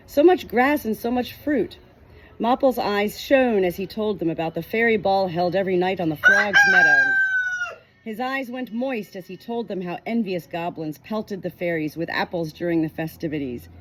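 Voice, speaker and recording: A woman reading a novel chapter aloud in an expressive storytelling manner, giving short quoted lines a light change of voice. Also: medium pitch, poor audio. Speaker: audiobook reader.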